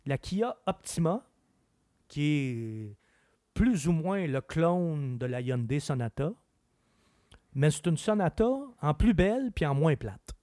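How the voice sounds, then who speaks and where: A man with a Québécois French accent, a car expert chatting on a radio show in an opinionated, animated way. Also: on the high side, slow pace, dry recording, clean studio sound. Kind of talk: casual chat.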